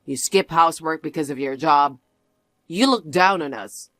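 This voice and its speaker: A cold, arrogant husband talks down to his wife in a lowered, scornful tone, as a woman reader puts him on. The delivery is clipped and sneering, lecturing rather than arguing. The sound is dry and close. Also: moderate pace, fairly bright tone, no echo. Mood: contemptuous. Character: arrogant husband, dismissive young man. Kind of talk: one-voice drama reading.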